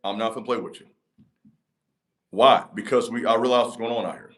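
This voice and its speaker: A man's voice: fairly even intonation, brisk, fairly bright tone. A man, giving a motivational talk to camera in an emphatic, repetitive cadence. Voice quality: deep voice. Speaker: channel host.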